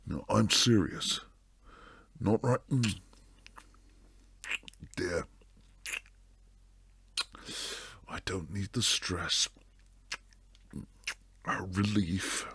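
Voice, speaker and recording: A man acts out a protective bodyguard boyfriend in an intimate ASMR roleplay. His voice is very deep and gravelly, with a slow delivery that slides into hushed whispers. He sounds stern and weary with tenderness underneath, close on a dry microphone. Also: no echo, full character voice. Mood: frustrated, pleading. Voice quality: low, gravelly, breathy.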